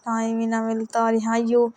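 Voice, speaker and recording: A woman's voice: on the high side, monotone, normal speed, darkish tone, a little hiss or hum. A young woman, chatting curtly and sulkily on a recorded phone call. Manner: sulky, curt. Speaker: caller chatting with partner. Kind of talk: casual phone chat.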